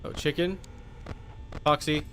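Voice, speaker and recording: A man's voice: fairly high pitch, fairly even intonation, slow pace, a bit bright, a little hiss or hum, rough recording. A man livestreaming a video game with casual commentary, muttering to himself, repeating phrases and chatting with viewers. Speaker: livestreamer playing a horror game.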